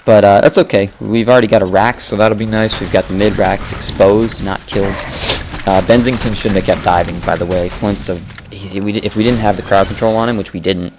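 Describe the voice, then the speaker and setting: Low-voiced man commentating his game. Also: steady tone, a little fast, background noise. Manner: rambling, self-correcting, talks to viewers as guys. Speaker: gameplay commentator. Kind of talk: casual live gameplay commentary. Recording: loud game music behind voice.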